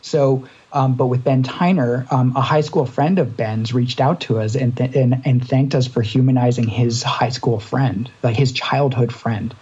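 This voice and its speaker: A man's voice: medium pitch, steady tone, normal speed, few pauses, a little mellow, husky, highs cut off. A man from Canada, a true crime podcast co-host, chatting casually in an interview, talking in long rambling stretches with restarts. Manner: rambling, many restarts and fillers.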